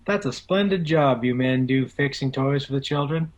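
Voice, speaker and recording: A man acting in a radio play as a prim, mild-mannered reverend, polite and stuffy, with a husky voice. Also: medium pitch, moderate pace, lightly acted.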